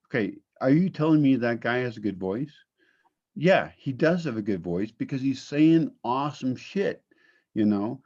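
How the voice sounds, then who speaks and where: A man who does paid narration, talking in a casual, animated, self-deprecating way, swearing casually. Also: medium pitch, moderate pace, lo-fi sound. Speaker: narrator and voice talent. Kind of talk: casual conversation.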